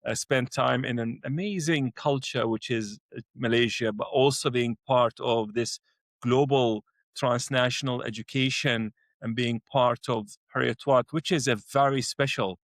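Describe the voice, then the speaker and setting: A man's voice: medium pitch, fairly bright tone, no room sound, clean studio sound. A middle-aged man from Iraq talking in a thoughtful conversation, with frequent restarts and fillers. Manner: hesitant, many restarts and fillers. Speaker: educator, co-host. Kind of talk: conversational dialogue.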